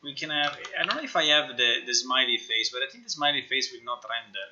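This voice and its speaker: A man's voice: medium pitch, fast, lo-fi sound, background noise. A man who is a non-native English speaker, thinking aloud casually on a live stream, repeating himself and swearing as he works. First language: not English. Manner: muttering to himself, repetitive, sweary. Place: indoors at a computer.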